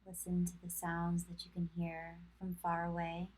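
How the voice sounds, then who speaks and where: A woman instructor leading a guided meditation in a calm, measured, soothing manner with long pauses. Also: fairly low pitch, husky, poor audio. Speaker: yoga instructor.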